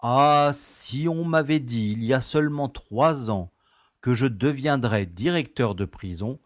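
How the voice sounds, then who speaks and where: A man's voice: mid-range voice, measured pace, dry recording, studio quality, natural voice. A man playing an easygoing, genteel prison director in an audio drama, telling things in a wry, nostalgic way. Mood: genial. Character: urbane, easygoing prison director, former playboy.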